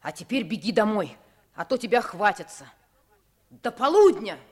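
A woman's voice: mid-range voice, very animated, moderate pace, darkish tone, husky. Cocky, streetwise boy. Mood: brisk, friendly. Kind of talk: 1950s radio drama acting.

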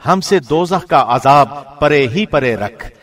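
A man reading a scripture translation from a script in a formal, solemn, measured manner. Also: fairly high pitch, animated, fairly bright tone. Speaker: translation reader, radio host.

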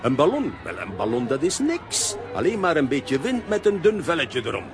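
An actor playing an excitable, easily flustered comic man who stammers and repeats himself in a children's radio play. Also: on the high side, normal speed. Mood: reassuring.

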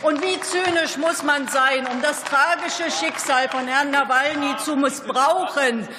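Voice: high voice, unhurried, a crowd in the background, noisy background. A politician delivering a fiery speech in an indignant, accusatory manner, full of rhetorical questions. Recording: echoey hall with long reverb.

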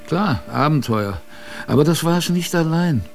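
Gravelly old seafaring grandpa. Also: male voice, on the high side, expressive, soft background music. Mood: wistful reminiscing. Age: older. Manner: colloquial, salty storytelling with musing pauses. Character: gruff, affectionate old seafaring grandfather reminiscing to his granddaughter. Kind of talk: radio drama first-person narration.

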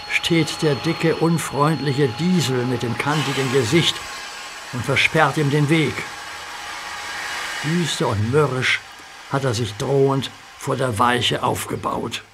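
A man's voice: mid-range voice, noisy background. A man narrating a children's radio play in a vivid storytelling manner.

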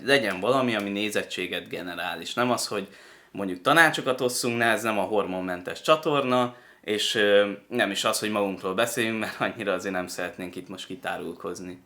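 Blunt, bright-voiced man, lowish pitch. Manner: blunt, opinionated. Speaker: panelist on a video reaction show. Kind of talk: casual critical commentary.

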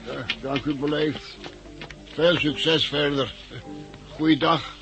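A man's voice: on the high side, fairly even intonation, slow pace, gravelly, a bit bright, exaggerated voice, low-quality recording. An actor playing a self-reliant, tipsy blind man, sardonically polite, in a 1960s radio drama. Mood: wry, resigned. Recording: old radio recording with music behind.

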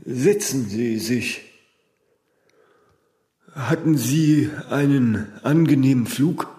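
An actor as an aloof, formal man in a radio play. He speaks extremely slowly in a soft-edged, dark tone, cool and detached. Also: mid-range voice, close to an everyday voice. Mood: detached, courteous. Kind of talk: radio drama acting.

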